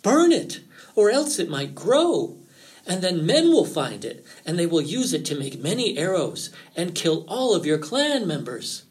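A man voicing a sly male fox in an animal folktale, feigning worry with theatrical, drawn-out pleas and warnings. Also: sits high, wildly swooping pitch, pitched above the performer's own voice, heavily acted. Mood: urgent, grave.

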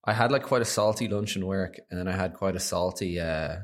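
Casting: lowish guy, late twenties, easygoing podcast co-host. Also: a little fast. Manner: chatty, many fillers, bursts of laughter. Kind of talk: casual chat.

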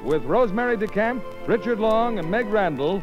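A man's voice: sits high, expressive, normal speed. A man announcing a 1950s radio program in a grand, formal style. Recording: muffled old radio broadcast, loud music.

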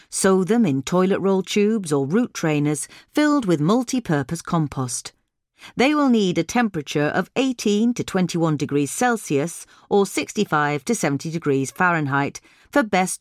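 A woman's voice: fairly low pitch, dry recording, studio quality. A woman reading a scripted gardening article aloud as a podcast narrator. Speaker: podcast reader.

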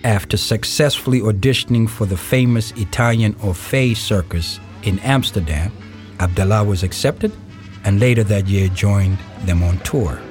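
A man reading scripted narration for an audio play in a measured storytelling way. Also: fairly low pitch, darkish tone. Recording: music behind the voice. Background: music.

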